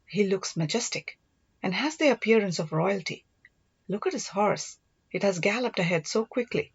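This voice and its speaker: A woman's voice: medium pitch, lively intonation, moderate pace, husky, muffled recording. A woman voicing a devoted, earnest young prince and older brother in an audiobook, reassuring and teasing. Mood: curious.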